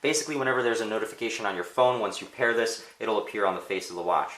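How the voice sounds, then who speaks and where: A man's voice: fairly low pitch, very fast, fairly bright tone. A man, a native Polish speaker, giving a casual unscripted product demonstration as a reviewer, thinking aloud in a conversational way, in a roomy indoor space. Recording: roomy, echoing indoor sound.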